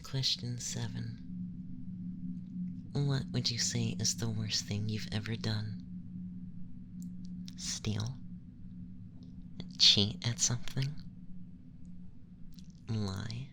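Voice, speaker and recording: A man voicing a young, effeminate, clinically curious alien abductor in a soft, hushed, breathy ASMR roleplay, questioning formally in a measured, detached way. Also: on the low side, steady tone, heavy background noise, exaggerated voice. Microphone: close microphone.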